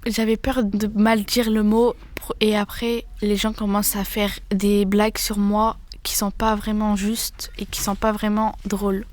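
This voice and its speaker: A woman's voice: mid-range voice, level tone, unhurried, hardly any pauses, a little mellow. A young woman in her late teens recounts her own school experience earnestly as a student. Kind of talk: personal testimony.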